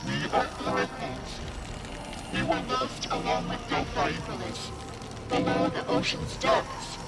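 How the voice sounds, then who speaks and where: A woman's voice: fairly high pitch, very animated, measured pace, gravelly, full character voice. A woman playing a desperate oracle in a video game, pleading urgently. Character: desperate female oracle pleading under siege. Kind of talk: video game cutscene acting.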